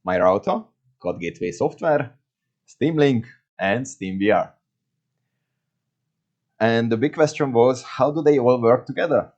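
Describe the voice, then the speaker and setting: A man's voice: mid-range voice, a little slow, pauses often, darkish tone, muffled recording. A man from Hungary with a Hungarian accent, presenting a scripted video review in English as a non-native speaker. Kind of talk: scripted product review to camera.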